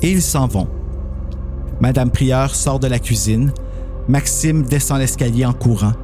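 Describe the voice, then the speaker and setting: A man's voice: medium pitch, measured pace. A man reading scripted story narration. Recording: music clearly under the narration.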